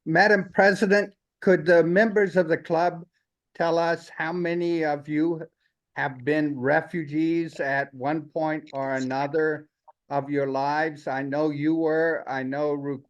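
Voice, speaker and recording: A man's voice: a little high, steady tone, slow pace. A man informally putting questions to a club meeting over a dull online connection. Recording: dull lo-fi call audio.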